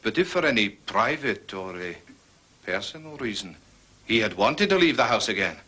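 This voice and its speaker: Husky, dogged police inspector. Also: male voice, on the low side, very animated, normal speed, pushed and bright, exaggerated voice. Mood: official, probing. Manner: ponderous wording, methodical questioning. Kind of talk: period detective drama acting.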